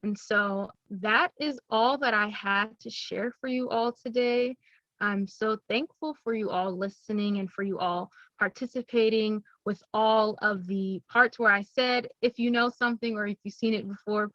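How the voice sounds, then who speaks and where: A woman's voice: mid-range voice, steady tone, unhurried, fairly bright tone, no echo, lo-fi sound. A young woman giving an upbeat, teacherly presentation to schoolchildren, explaining things plainly. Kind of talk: recorded classroom presentation.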